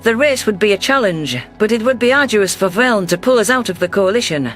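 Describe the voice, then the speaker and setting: High-pitched man narrating sci-fi. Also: animated, fairly quick, a bit bright, soft background music. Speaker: audiobook narrator. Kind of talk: scripted first-person sci-fi narration.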